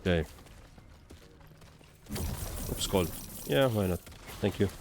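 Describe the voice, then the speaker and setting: A man's voice: deep voice, expressive, takes it slowly, creaky, a little hiss or hum. A man from Estonia with an Estonian accent, casually commentating on a game and chatting as a livestreamer. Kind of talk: casual live gameplay commentary and chat.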